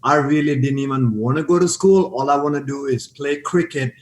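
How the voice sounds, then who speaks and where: A man's voice: mid-range voice, fairly quick, slight background noise. A man with a Sri Lankan accent tells his story as a podcast guest, his speech eager and full of restarts. His voice carries a roomy echo, as if he is on a call from an echoing room. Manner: eager, repeats words, starts and restarts. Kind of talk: storytelling interview answers.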